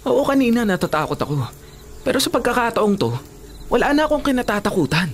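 A man's voice: extremely high pitch, big pitch swings, quick pace, a little hiss or hum, heavily acted. A man playing a brave, devoted young boyfriend with earnest melodrama in a Filipino radio drama. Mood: devoted, resolute. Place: small radio studio. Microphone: studio condenser microphone. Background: music bed.

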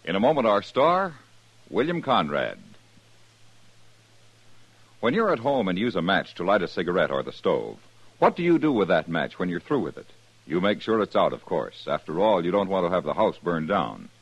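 A man's voice: low-pitched, normal speed, no room sound. A man reading a public service notice and the credits as a formal 1950s radio announcer. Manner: smooth, lively, formal announcer delivery. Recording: dull, dry 1950s radio broadcast.

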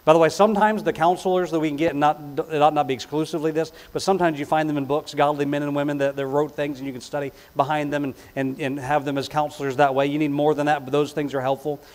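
A man's voice: a little high, level tone, fast, few pauses. A middle-aged man preaching a sermon as a pastor, emphatic and repeating key phrases, with conversational asides. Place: indoors on a church platform. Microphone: lapel mic.

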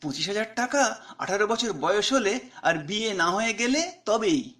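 A man's voice: high voice, lively intonation, normal speed, pushed and bright. A man with an Indian Bengali accent reading his own short story aloud as dramatic, conversational narration.

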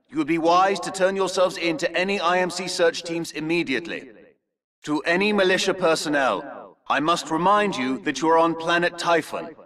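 A male actor plays an occupying army's announcer in a game. He is coldly polite and menacing, formal and measured, with a fairly high male voice set a little back from the mic. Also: a bit bright, recorded from a distance, natural voice. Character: cold, polite, menacing occupying-army broadcaster demanding surrender. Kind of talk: formal propaganda broadcast announcement.

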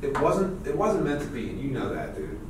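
A brash but loyal dude with very animated pitch, blunt and full of sweary slang, played by a man at a table read. He is heard at a distance in a very echoey room. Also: a little fast, natural voice. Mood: laid-back, consoling. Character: Jackson, brash laid-back male buddy, loyal and mocking. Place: meeting room around a long table, indoors. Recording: echoey room, far mic, noisy.

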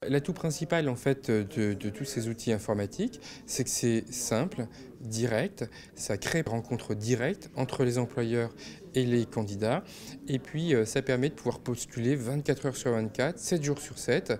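A man's voice: mid-range voice, normal speed, a little mellow. A man with a mid-range voice speaks in an upbeat way, listing advantages for a news interview.

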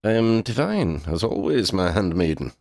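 A man's voice: on the low side, very animated, normal speed, vocal fry, dry recording, clean studio sound, voice pushed up. A man voicing a proud, lordly talking male cat in a storybook, with pompous, formal wording. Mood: lordly, playful. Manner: pompous, formal wording with Scottish turns of phrase.

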